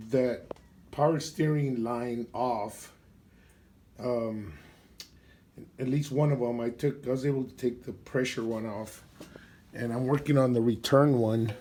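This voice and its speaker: A man with a cold and a hoarse voice, talking casually through a car repair in his garage while filming on a phone, with lots of fillers and throat clearing. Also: mid-range voice, darkish tone. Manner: rambling, filler-heavy, clears throat often. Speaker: DIY car mechanic vlogger. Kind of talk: casual workshop walkthrough. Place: indoor garage under a vehicle. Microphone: phone camera held while working.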